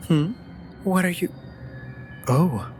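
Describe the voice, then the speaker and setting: A man's voice: on the high side, takes it slowly, a little mellow, faint music behind. A man voicing a teasing, dramatic raven familiar in a scripted fantasy audio roleplay, with playful, sardonic and theatrically indignant delivery. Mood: wry, fussy.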